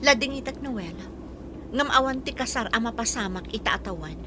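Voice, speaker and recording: A woman's voice: on the high side, animated, fairly quick, noisy background, close to an everyday voice. An actress with an Ilocano accent playing a frank, earnest young woman in a radio drama. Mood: frustrated. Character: Shirley, earnest young woman, frank and principled daughter and girlfriend. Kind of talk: Filipino radio drama acting.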